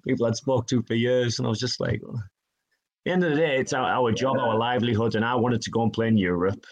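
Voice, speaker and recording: A man, telling stories from his career in a relaxed interview, often acting out what others said to him. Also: mid-range voice, fast talker. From Northeast England. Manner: chatty, self-deprecating, quotes others in dialogue. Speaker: guest, hockey player. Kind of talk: casual interview storytelling.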